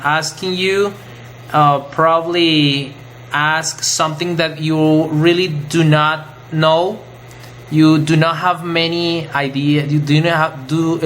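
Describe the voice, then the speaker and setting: A man teacher slowly and carefully asking a student questions in class. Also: a little high, fairly bright tone, slight background noise. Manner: slow, careful, measured questions. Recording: dull lo-fi class recording.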